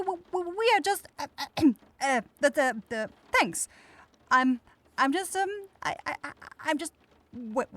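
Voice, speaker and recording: A woman's voice: sits high, fairly bright tone, full character voice. A woman playing a kind young forest witch in an ASMR fantasy roleplay, shy and caring, easily flustered into stammering.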